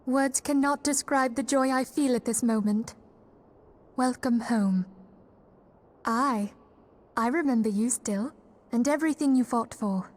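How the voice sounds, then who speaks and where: Young female order leader, fantasy game, highish pitch, earnest, kindly, poised, formal diction. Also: a little slow, pauses often. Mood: welcoming, then tender, solemn. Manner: sincere, poised, formal speech. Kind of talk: fantasy video game voice acting.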